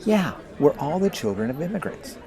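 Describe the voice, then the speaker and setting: A man playing a principled, friendly American high school boy who explains things earnestly in an audio drama. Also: very animated, normal speed, vocal fry. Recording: busy crowd noise behind.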